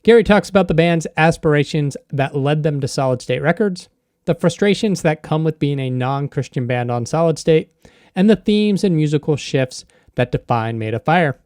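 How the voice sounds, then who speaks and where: A man's voice: on the high side, expressive, moderate pace, a little mellow, dry recording, studio quality. A man hosting an interview podcast in a casual, conversational style.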